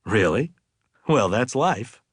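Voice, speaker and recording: A man voicing an earnest, reflective middle-aged father in an audiobook, musing deliberately and with emphasis. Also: medium pitch, big pitch swings, breathy edge, dry recording, studio quality, exaggerated voice.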